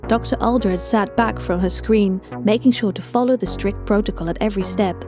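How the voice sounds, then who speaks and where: A woman's voice: mid-range voice, normal speed, darkish tone. A woman reading scripted audio fiction as a story narrator, with music behind her.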